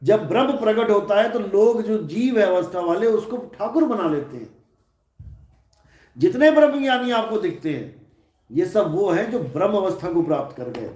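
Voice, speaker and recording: A man's voice: high-pitched, lively intonation, normal speed, darkish tone. A man giving a live spiritual discourse in a hall, asking rhetorical questions and answering them himself. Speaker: spiritual teacher. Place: large hall.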